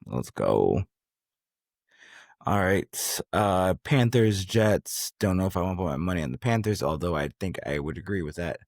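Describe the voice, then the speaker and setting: Deep-voiced man hosting a casual podcast. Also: sing-song, moderate pace, vocal fry, a little mellow, no echo, studio quality. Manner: slangy, bro-ish banter. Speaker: podcast host. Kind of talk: casual sports chat.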